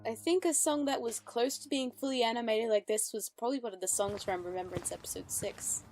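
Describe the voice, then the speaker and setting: A woman's voice: a little high, brisk, music in the background. A woman giving enthusiastic reaction commentary in short asides.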